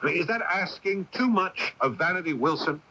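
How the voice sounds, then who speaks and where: An actor playing an earnest, probing young man in a 1980s radio drama, intent and a little halting. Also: sits high, lively intonation, bright, forceful tone, exaggerated voice. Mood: pleading. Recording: noisy 1980s AM radio broadcast.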